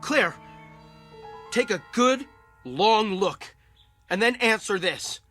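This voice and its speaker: An actor playing a distraught husband in a radio drama, agitated, insistent and pleading. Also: male voice, high-pitched, lively intonation, slow pace, a bit bright, faint music behind, exaggerated voice. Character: distraught husband convinced his face is a stranger's.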